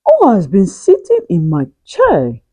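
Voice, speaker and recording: Mother bear for a children's tale, done by a woman: female, moderate volume, mellow, puzzled, measured questioning. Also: medium pitch, wildly swooping pitch, breathy edge, full character voice. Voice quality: medium-sized, moderate voice. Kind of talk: children's storybook character voice.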